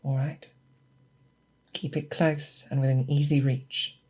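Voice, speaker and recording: A woman playing a seasoned, grave and protective female monster hunter in a slow, hushed, breathy ASMR roleplay, close to the microphone. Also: very deep voice, flat delivery, full character voice. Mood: earnest, protective. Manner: slow, deliberate, hushed, with pauses. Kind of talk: ASMR roleplay, close soft speech and whispers. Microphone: close binaural microphone.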